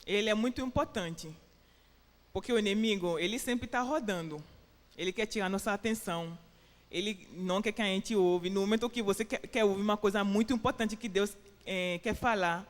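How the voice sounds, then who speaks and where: A woman's voice: medium pitch, in a large hall. Woman preaching. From Benin. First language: French. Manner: earnest, with hesitant restarts and fillers. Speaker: missionary preacher. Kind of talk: sermon and prayer. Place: church sanctuary with a PA.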